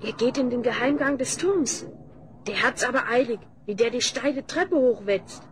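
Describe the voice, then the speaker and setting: A woman's voice: on the high side, normal speed, lightly acted. A woman playing a protective, sensible young heroine in a fantasy audio drama. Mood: watchful. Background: music.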